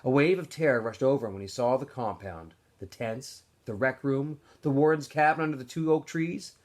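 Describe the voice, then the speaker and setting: A man narrating a novel aloud in an expressive storytelling style, as if for students. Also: mid-range voice, normal speed. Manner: animated storyteller. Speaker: teacher or school staff reading aloud to students.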